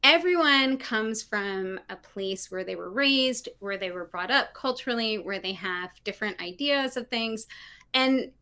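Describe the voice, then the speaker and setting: A middle-aged woman talks from her living room over a video call, her voice bright and a little high. She speaks with animation and keeps checking in with "right?" as she makes her case. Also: measured pace, clean tone. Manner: animated, frequent "right?" tags, laughs. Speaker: guest, diversity consultant. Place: home living room with bookshelves. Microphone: video call, laptop mic.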